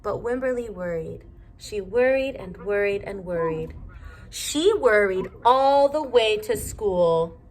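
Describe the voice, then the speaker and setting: A woman teacher reading a storybook aloud to young children, pausing to ask them simple questions. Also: medium pitch, expressive, a little mellow.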